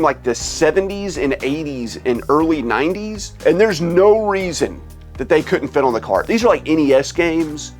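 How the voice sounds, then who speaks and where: A man hosting a gaming vlog, sick with a cold and losing his voice, ranting in an exasperated, animated way from his game room. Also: on the high side. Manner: exasperated, animated complaining with laughs. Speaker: gaming channel host. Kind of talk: casual vlog rant.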